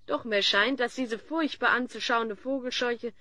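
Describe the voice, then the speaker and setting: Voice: medium pitch, measured pace, pushed and bright, no room sound. An actor playing a fifteen-year-old boy hero in a fantasy audio drama, earnest, sensible and theatrical. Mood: amused.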